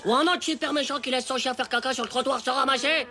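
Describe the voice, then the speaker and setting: An actor playing a dramatic, bossy ten-year-old schoolboy in a cartoon, slangy and excitable. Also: high voice, quick pace, a little hiss or hum. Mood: excited, scheming. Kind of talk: animated cartoon dubbing.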